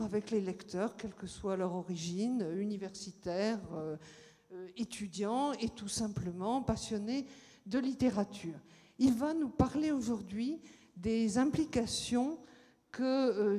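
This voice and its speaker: A woman's voice: a little high, warm tone. A woman giving a formal introduction of a guest speaker, hesitantly searching for words, in a reverberant lecture hall. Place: lecture amphitheatre.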